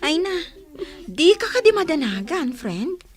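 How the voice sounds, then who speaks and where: An actress playing a gossipy, encouraging young best friend in an Ilocano radio comedy drama, teasing and exclaiming. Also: female voice, high voice, sing-song, measured pace. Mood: reassuring.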